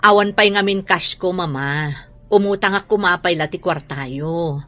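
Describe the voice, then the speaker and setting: A woman's voice: on the low side, moderate pace, a bit bright, faint music behind, lightly acted. A Filipino woman with an Ilocano accent playing a defensive, wheedling grown daughter in a radio drama. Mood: pleading.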